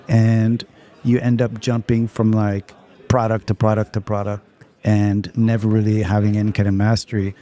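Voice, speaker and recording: Raspy-voiced man, soft and warm. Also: mid-range voice. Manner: earnest, leans on "you know" fillers. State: hoarse. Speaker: podcast guest. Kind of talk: casual podcast chat.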